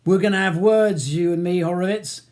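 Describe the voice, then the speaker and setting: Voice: medium pitch, lively intonation, unhurried, mellow, lightly acted. A reader voicing a belligerent working-class London gangster with a volatile temper, spitting threats and swearing. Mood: angry, threatening.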